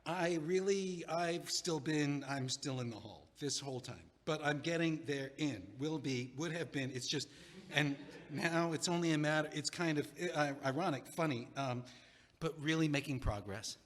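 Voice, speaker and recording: A man playing a flustered, tongue-tied, heartbroken guy in a comic audio play, speaking haltingly in broken phrases. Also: on the high side, close to an everyday voice. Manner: halting, stammering, fragmented. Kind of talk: audio play acting, comedic dialogue. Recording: echoey hallway-like long reverb.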